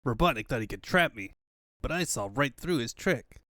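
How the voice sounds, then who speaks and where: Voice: mid-range voice, expressive, normal speed, bright, forceful tone, no echo, studio quality. A performer voicing a cocky, flippant young hedgehog hero in a cartoon comic dub. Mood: brash, startled. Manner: brash, flippant. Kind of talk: comic fandub acting.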